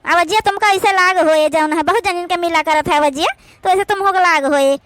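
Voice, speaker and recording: A woman's voice: very high-pitched, fairly quick, a bit bright, slight background noise. A woman voicing a sly, work-shy village daughter-in-law in an Awadhi comedy cartoon, with an Awadhi accent and an evasive, rambling manner. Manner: evasive, rambling with filler phrases.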